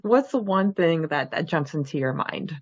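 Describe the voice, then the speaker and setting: A woman's voice: sits low, lively intonation, normal speed, dry recording, dull audio. A woman hosting a podcast in a chatty, giggly manner, moving between casual talk and recap. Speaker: podcast host. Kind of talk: casual chat and chapter recap.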